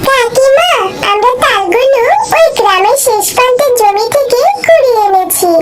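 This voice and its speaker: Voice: extremely high pitch, animated, normal speed, noisy background. A performer voicing a small, polite village child who pleads with grown-ups in a children's cartoon. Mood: pleading. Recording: music behind the voice.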